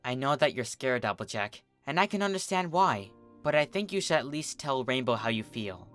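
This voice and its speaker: A narrator voicing a bookish, reassuring young female pony with a gentle, earnest, articulate manner, in a cartoon fan story. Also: medium pitch, lively intonation, moderate pace, faint music behind, lightly acted.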